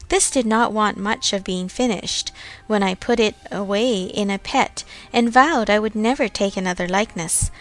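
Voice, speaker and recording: A woman's voice: medium pitch, moderate pace, natural voice, low-quality recording. A woman voicing a genteel, chatty, self-satisfied young lady of Regency society in a storybook reading. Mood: proud, chatty.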